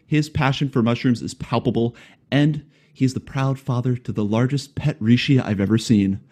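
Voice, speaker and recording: A man's voice: medium pitch, moderate pace, darkish tone. A man hosting a podcast, reading a prepared intro and then asking rambling, friendly questions with light jokes. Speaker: podcast host. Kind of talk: scripted intro, then casual interview.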